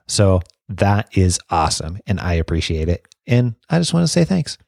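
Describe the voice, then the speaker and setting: A man in his thirties hosts a podcast in a low voice, talking casually and effusively with plenty of restarts. The recording is dry and close, with no room sound. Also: sing-song, normal speed, creaky, a little mellow, no room sound. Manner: earnest, effusive thanks with many restarts. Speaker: podcast host.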